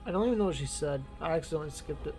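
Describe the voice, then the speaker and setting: A man's voice: fairly high pitch, animated, rapid-fire, a little mellow, faint music behind. A man giving casual gameplay commentary with slangy, boastful talk and plenty of swearing. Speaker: gaming streamer.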